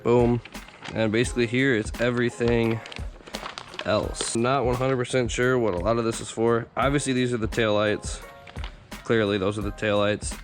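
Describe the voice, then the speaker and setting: A man with a hoarse, raspy voice from just waking up, casually talking through a car install for his vlog on a handheld camera, rambling with plenty of fillers. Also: medium pitch, moderate pace, faint music behind. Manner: relaxed, rambling, many fillers. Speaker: car vlogger.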